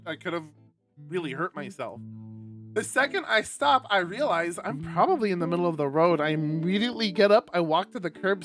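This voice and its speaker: A man livestreaming and reading a visual novel aloud, sweary and animated, with exasperated asides and guitar music behind him. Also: sits high. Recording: loud music under the voice. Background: plucked guitar game music.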